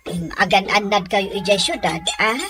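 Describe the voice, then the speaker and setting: A woman playing a gentle, worrying provincial mother in an Ilocano comedy drama. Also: mid-range voice, moderate pace, slight background noise, close to an everyday voice. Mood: caring.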